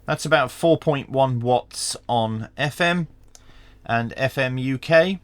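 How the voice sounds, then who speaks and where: A man giving a hands-on tech review in a measured, matter-of-fact way. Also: mid-range voice, fairly bright tone, dry recording. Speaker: product reviewer.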